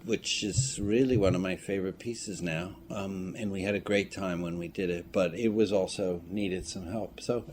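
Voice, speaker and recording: A man's voice: sits low, fairly even intonation, normal speed, hardly any pauses. A man chatting casually in an interview as a creative guest, with a wry, self-deprecating manner. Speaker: filmmaker and audio drama writer being interviewed. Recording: clear background noise, room ambience.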